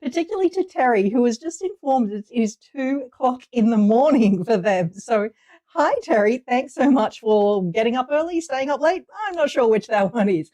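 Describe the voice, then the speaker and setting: Aussie lady hosting a live stream, kinda high voice, chatty and upbeat, getting over a cold. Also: animated, moderate pace, warm tone. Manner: chatty and upbeat, reading viewer names aloud. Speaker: livestream host. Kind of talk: casual livestream chat greeting viewers.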